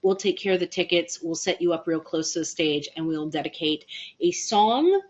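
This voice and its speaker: A woman hosting a casual livestream, chatting to viewers in an animated, playful way, on dull lo-fi audio. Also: lowish voice, normal speed. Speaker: livestream host and band musician.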